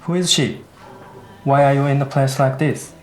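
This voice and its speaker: A man's voice: medium pitch, steady tone, moderate pace, soft background music, natural voice. A man acting an earnest young man longing for his sweetheart in a radio drama, with urgent questioning delivery. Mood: urgent, pleading.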